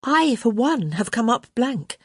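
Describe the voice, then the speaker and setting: A woman's voice: fairly high pitch, very animated, unhurried, breathy edge, dry recording, clean studio sound, voice pushed up, full character voice. A woman playing a crotchety, imperious old countess, swooping grandly. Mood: brusque, dismissive. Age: elderly. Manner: grand, emphatic, sharp-tongued pronouncements.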